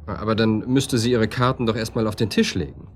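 A man's voice: fairly low pitch, brisk, slight background noise, lightly acted. An actor playing a wary professional man under questioning in a radio crime drama, formal, puzzled and defensive.

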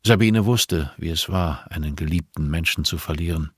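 A man narrating crime fiction as a scripted audiobook, measured and sober. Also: a bass voice, breathy edge, dry recording, studio quality.

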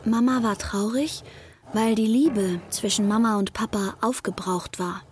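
A woman's voice: medium pitch, measured pace, a little mellow, a little hiss or hum, close to an everyday voice. A woman playing a naive, earnest young child who narrates a radio drama in a plain, matter-of-fact way.

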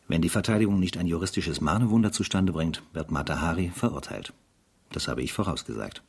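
Grave officer reading his diary, very low husky voice, measured, foreboding, radio drama narration. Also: exaggerated voice. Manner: measured, solemn, dramatic pauses.

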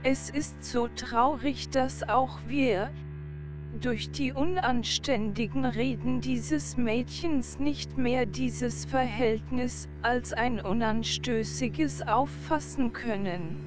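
Radio play mother: prim, reproachful, moralising, highish voice, unhurried delivery. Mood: plaintive, reproachful. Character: reproachful, prim, easily scandalised mother of grown son. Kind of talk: radio drama acting. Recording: music behind the voice.

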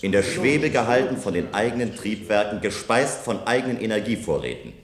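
An actor playing a self-assured visionary engineer in a German science fiction radio play, speaking in measured, persuasive statements. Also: male voice, on the low side, animated, crowd noise, lightly acted. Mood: assured, insistent. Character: self-assured visionary male engineer proposing a bold tower. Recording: roomy, echoing studio radio play sound.

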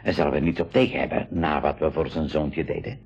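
An actor playing an avuncular expedition leader in a radio play, taking charge with an exclamatory, courteous manner. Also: male voice, extremely low pitch, steady tone, brisk, husky, highs cut off, soft background music, heavily acted. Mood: decisive. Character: hearty uncle leading a jungle expedition, decisive, courteous. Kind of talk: 1980s school radio play acting.

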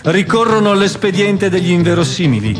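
A man's voice: high voice, sing-song, fairly quick. A man giving theatrical scripted narration as the narrator of a stage play. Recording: echoey stage hall, music behind.

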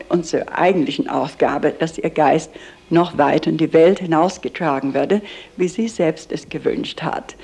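Mellow, even-toned woman guiding visitors. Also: low-pitched. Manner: earnest, with slightly faulty grammar. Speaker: museum guide and owner of a memorial house. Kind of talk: guided tour talk.

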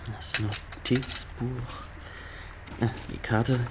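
A man's voice: medium pitch, steady tone, very slow, noisy background. An actor in a German radio drama playing a stiff, officious young male inspector who questions people formally and insistently. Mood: probing, pedantic. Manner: formal, pedantic, insistent interrogating.

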